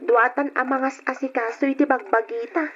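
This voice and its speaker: Earnest little sister, very high voice, plaintive, radio drama, dull lo-fi sound. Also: female voice, steady tone, brisk, fairly bright tone, slight background noise. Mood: wistful. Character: young daughter, earnest little sister missing her mother.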